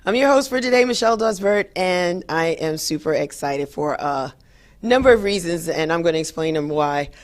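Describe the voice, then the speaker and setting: A hoarse woman, hosting a talk show in an upbeat, chatty way with many fillers. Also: fairly low pitch, lively intonation, moderate pace. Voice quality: raspy. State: hoarse after a late night. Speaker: radio show host. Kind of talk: solo talk show monologue.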